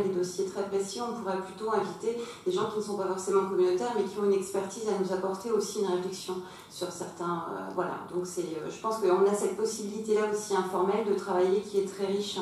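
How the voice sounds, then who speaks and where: A woman's voice: medium pitch, darkish tone. Distant woman speaking in an echoey meeting. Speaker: council member. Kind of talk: spontaneous speech in a formal meeting. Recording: very echoey hall, distant mic, faint noise.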